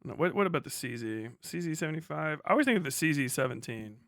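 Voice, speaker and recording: A man's voice: a little high, lively intonation, fast, fairly bright tone, no room sound, clean studio sound. A man hosting a livestream with casual, profane, blunt and sarcastic commentary. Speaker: livestream host.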